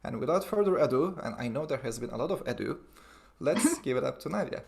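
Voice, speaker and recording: A man's voice: mid-range voice, animated, quick pace, gravelly, darkish tone. A man hosting an online event over a video call, reading out promotional announcements and introducing a guest. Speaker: webinar host.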